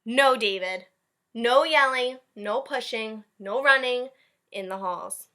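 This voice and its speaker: A woman's voice: a little high, slow pace, bright, forceful tone. A woman voicing a strict, exasperated schoolteacher giving firm, short orders to a naughty pupil in a picture-book read-aloud. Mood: stern.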